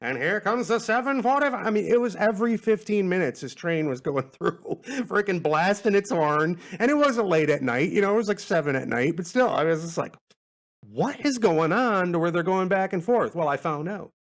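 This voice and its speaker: A man's voice: sits high, expressive, moderate pace. A man hosting a casual solo podcast, meandering through listener questions in a folksy, self-amused way.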